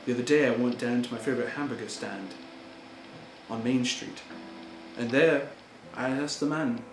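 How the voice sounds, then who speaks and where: A man's voice: mid-range voice, moderate pace, over music, natural voice. A man acting an earnest, indignant German-born immigrant who pleads for fair treatment, in an amateur role-play monologue. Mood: pleading. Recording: echoey room with background noise.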